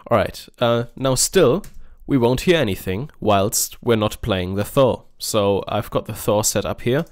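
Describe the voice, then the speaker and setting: A man hosting a software tutorial in a casual, offhand, informal way. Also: lowish voice, measured pace, no echo, studio quality. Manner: informal, offhand, mildly sweary. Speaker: music software tutorial host. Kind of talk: casual screen-recorded tutorial.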